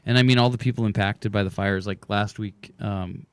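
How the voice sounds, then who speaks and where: Hoarse low-voiced radio host. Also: male voice, normal speed, dry recording. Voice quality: hoarse from smoke. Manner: rambling, relaxed, many fillers. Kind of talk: casual radio interview chat. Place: radio studio.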